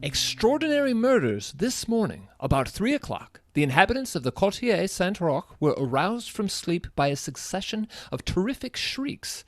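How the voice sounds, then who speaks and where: An actor playing an astonished gentleman, a detective's companion, in a radio drama with a theatrical, emphatic delivery. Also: sits high, very animated, moderate pace, few pauses. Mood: dramatic reading. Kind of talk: live radio drama acting.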